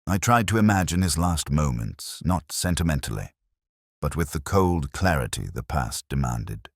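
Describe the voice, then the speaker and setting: A man playing a weary, brooding older police inspector, narrating his case in a measured, solemn, deliberate way. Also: very deep voice, lively intonation, husky, no room sound, clean studio sound, exaggerated voice. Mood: pensive, suspicious. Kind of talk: scripted first-person mystery narration.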